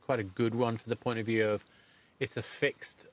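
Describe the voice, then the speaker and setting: A man's voice: mid-range voice, little pitch movement. A middle-aged man hosting a podcast interview in a conversational, rambling way, often breaking off and correcting himself. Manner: halting, rambling, self-correcting. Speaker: podcast host interviewing a guest.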